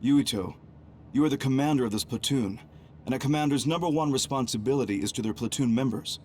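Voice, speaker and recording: An actor playing a stern, calm military commander and scheming reformer in an English game dub, speaking formally and deliberately. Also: male voice, mid-range voice, slight background noise, natural voice. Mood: firm, official. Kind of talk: English-dubbed video game cutscene acting. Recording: music behind the voice.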